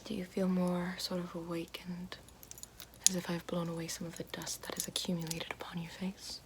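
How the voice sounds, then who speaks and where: A woman playing a calm, gentle witch in an ASMR roleplay, whispering slowly and soothingly right at the mic and repeating soft praise. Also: on the low side, natural voice. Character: calm gentle female witch guiding elements. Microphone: close binaural-style mic. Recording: noisy hiss behind voice.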